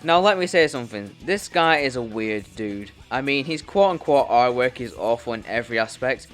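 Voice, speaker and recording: A man's voice: mid-range voice, expressive, moderate pace, a bit bright. A man hosting a YouTube commentary video, talking in a blunt, informal, sweary way as he reads a loose script. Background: music.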